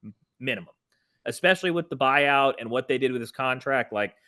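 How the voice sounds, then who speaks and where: A man's voice: medium pitch, lively intonation, fairly quick, bright, forceful tone. A man co-hosting a sports podcast, talking casually in a blunt, emphatic, exasperated way. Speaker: podcast co-host.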